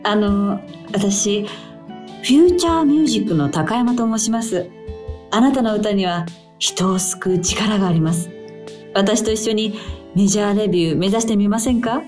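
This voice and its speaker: A woman's voice: medium pitch, moderate pace, darkish tone, natural voice. An actress playing a polite, persuasive female music talent scout in a radio drama. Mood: earnest.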